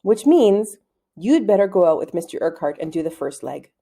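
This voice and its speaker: A self-assured schoolboy , as a woman reads him, laying things out casually and persuasively. The storybook voice is mellow, with lively rises and falls. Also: fairly low pitch, brisk, vocal fry, husky, exaggerated voice. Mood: matter-of-fact. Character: confident schoolboy planning an adventure.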